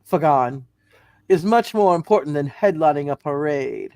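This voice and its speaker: A man voicing a polite, dutiful comic-book superheroine in a formal, measured way. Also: on the high side, dark tone, clean tone, dry recording, natural voice. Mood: polite, earnest.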